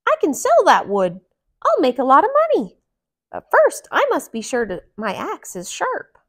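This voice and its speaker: Storybook hero: brave young woodcutter, high and animated voice, determined and earnest, played by a woman. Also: normal speed. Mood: eager.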